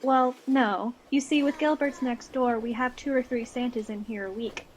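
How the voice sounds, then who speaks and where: A woman's voice: on the high side, steady tone, moderate pace, a little hiss or hum, close to an everyday voice, low-quality recording. A woman playing an earnest, dreamy bank teller giving witness testimony in an audio drama. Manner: earnest, musing.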